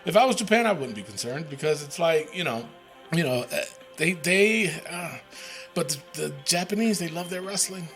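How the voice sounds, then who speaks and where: A man's voice: fairly high pitch, lively intonation, moderate pace, fairly bright tone, soft background music. A man hosting a casual wrestling podcast, rambling with lots of fillers and the odd swear word. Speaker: podcast host.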